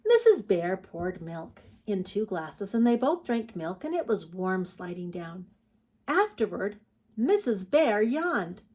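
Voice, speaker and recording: A woman reading picture books aloud to preschoolers as a storytime host, expressive and putting questions to young listeners. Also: mid-range voice, slow pace, husky. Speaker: children's storytime host and reader. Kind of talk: read-aloud picture book narration for preschoolers.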